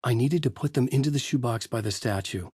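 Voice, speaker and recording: An actor playing a tormented, haunted horror novelist, narrating a video game in grave, brooding noir fragments with a husky voice. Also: male voice, mid-range voice, brisk, darkish tone, dry recording, studio quality, natural voice. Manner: grave, literary, brooding delivery in short fragments.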